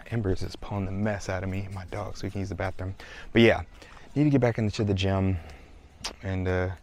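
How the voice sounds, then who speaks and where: A man, chatting casually to his viewers in a vlog. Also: deep voice. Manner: chatty, relaxed, addresses viewers as y'all. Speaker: vlogger. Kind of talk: casual vlog talk to camera.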